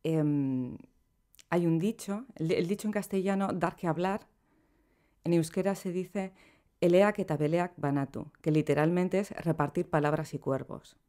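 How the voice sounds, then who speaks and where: A middle-aged woman, a poet, talking in a relaxed and reflective way as a podcast guest, laughing easily between thoughts, recorded in a small studio room. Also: on the low side, measured pace. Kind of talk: relaxed conversational interview. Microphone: studio microphone on boom arm.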